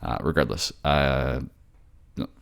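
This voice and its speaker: A man's voice: a bass voice, slow pace, vocal fry, fairly bright tone. A man hosting his audio channel, talking casually to listeners in a polite, appreciative, somewhat hesitant way. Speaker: voice actor, channel host.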